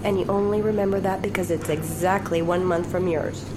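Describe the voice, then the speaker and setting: An actress playing an unhappy, needling wife in an audio drama, pointed and challenging in a naturalistic way. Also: female voice, lowish voice, steady tone, fairly quick, heavy background noise, lightly acted. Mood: testing, unimpressed.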